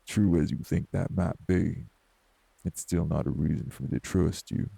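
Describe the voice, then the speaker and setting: A man playing a morose older wraith in an audio drama, blunt, folksy and scolding, with a husky, gravelly voice. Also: flat delivery, moderate pace, no echo, exaggerated voice. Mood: gruff, challenging. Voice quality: very gravelly, husky, dark low voice. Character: morose older male wraith, rural homebody, stern but hospitable. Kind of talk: dramatic audio roleplay acting.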